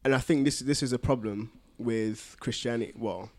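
A man's voice: mid-range voice, moderate pace, a little mellow. British man, London accent, mid-range voice, slangy podcast co-host chatting casually. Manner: slangy and colloquial.

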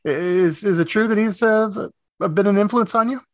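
Man hosting a radio interview, higher voice. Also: moderate pace, dry recording, studio quality. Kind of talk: casual interview chat.

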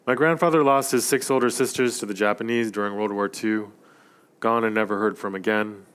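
A man reading a literary story aloud in a measured, reflective way. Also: fairly low pitch, a bit bright, clean tone. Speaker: narrator.

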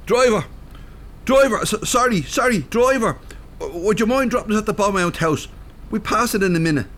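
A man's voice: sits high, lively intonation, moderate pace, a bit bright. An actor playing a plain-spoken, easily irritated older man with a Dublin accent in a radio drama. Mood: polite, calling. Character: rough-edged, easily irritated older widowed working man.

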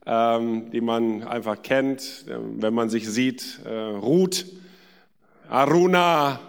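A man's voice: medium pitch, slow, darkish tone, smooth. Male guest preacher in an echoey hall. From India. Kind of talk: sermon with personal anecdotes. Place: large church hall. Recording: echoey hall with long reverb.

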